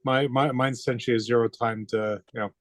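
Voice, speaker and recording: A man's voice: medium pitch, fairly even intonation, fast, no room sound. Stammering Canadian man, dry sound. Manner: stammering, repeats words before questions. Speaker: new club member. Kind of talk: casual conference call.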